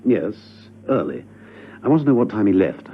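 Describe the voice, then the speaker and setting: An actor playing a suave, unflappable gentleman secret agent in a radio drama, urbane, understated and politely probing. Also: lowish voice, sing-song, normal speed, slight background noise. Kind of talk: spy radio drama acting. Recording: muffled old radio recording.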